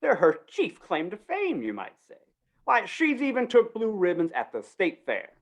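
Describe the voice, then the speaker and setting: A woman's voice: mid-range voice, wildly swooping pitch, moderate pace. A woman acting a flustered, wheedling small-town male undertaker in a 1950s-style Western radio play, stammering in rustic speech. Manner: stammering, pleading, rustic grammar.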